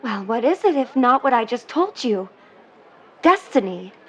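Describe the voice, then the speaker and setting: A woman's voice: fairly high pitch, very animated, unhurried, a bit bright, breathy edge, full character voice. A woman playing an earnest, romantic young sweetheart in a 1950s audio drama, speaking in a polite, dreamy, poetic way. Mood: insistent. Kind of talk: vintage-set audio drama acting.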